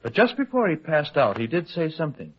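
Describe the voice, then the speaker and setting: An actor playing an anxious, colloquial, fast-talking newspaper reporter in old-time radio detective style. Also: male voice, a little high, slightly rough. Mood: eager, conspiratorial. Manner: colloquial, excitable, hesitant when pressed. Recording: dull, lo-fi old radio drama recording.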